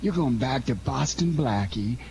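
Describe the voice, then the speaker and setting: A man's voice: medium pitch, animated, unhurried, gravelly. A man playing a cocky, streetwise fur thief in a 1940s-style radio crime drama, brusque and slangy. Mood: brisk, bossy. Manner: brusque, slangy, self-assured. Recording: noisy amateur radio drama recording.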